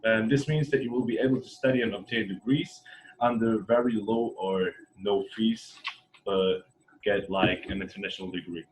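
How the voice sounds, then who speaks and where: A man's voice: fairly low pitch, moderate pace, a little hiss or hum, low-quality recording. A man who is a former student, introducing the program and asking questions with frequent hesitations. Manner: hesitant, many "um"s.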